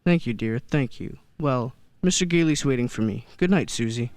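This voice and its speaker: An actor playing a kindly old Santa figure in a radio play, gentle and hesitant, often repeating words. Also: medium pitch, animated, normal speed, lightly acted. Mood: curious, tender. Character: kindly old man who believes he is Santa. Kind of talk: stage-style radio play acting.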